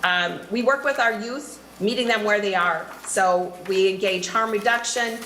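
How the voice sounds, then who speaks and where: A woman of mid pitch delivers earnest, prepared testimony, now and then dropping in an um. The hearing room adds a noticeable echo, and faint ambience hums underneath. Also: a little slow, sharp tone, slight background noise. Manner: earnest, fluent, with filler ums. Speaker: nonprofit director testifying.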